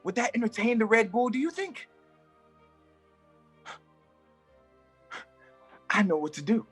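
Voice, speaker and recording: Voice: medium pitch, big pitch swings, fast talker, fairly bright tone, husky, faint music behind. A performer reading a male wandering magician in a play table read, stammering and theatrical. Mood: fretful. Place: live reading at an art exhibition.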